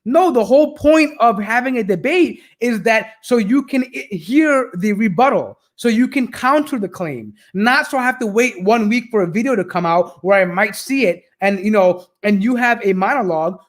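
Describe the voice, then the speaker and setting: A man hosting a reaction video, commenting on a debate in a mocking, exasperated way. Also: extremely high pitch.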